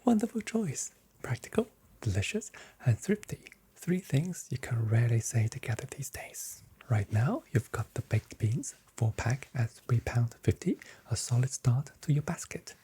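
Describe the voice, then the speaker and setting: A soft-spoken ASMR performer plays a grocery shop assistant in a hushed whisper. The voice is extremely breathy and dark, with very little clear tone. The delivery is gently playful sales patter, meant to relax. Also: medium pitch, sing-song, normal speed.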